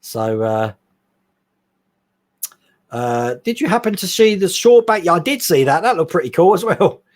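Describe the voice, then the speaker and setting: Lively man chatting on a live stream. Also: high voice. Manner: chatty, rambling, quick laughs. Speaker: host. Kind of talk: casual live-stream chat reading viewer comments.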